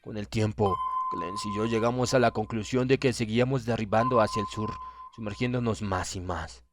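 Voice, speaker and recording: A man's voice: on the low side, fairly even intonation, moderate pace, noisy background. A man reads a horror story aloud as an audiobook narrator, in dramatic scripted narration. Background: background music.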